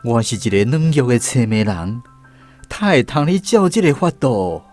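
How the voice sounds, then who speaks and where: A man's voice: medium pitch, sing-song, normal speed, darkish tone, faint music behind. An actor playing a weak, weary lost man searching for peace, earnest and pleading, in a Taiwanese radio drama.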